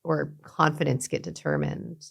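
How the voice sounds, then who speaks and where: A middle-aged woman, a guest talking reflectively in an interview, with many restarts and soft trailing endings. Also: low-pitched, very animated, measured pace, gravelly. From Los Angeles, USA. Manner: thoughtful, many fillers and restarts, trailing softly. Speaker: guest, beauty brand founder.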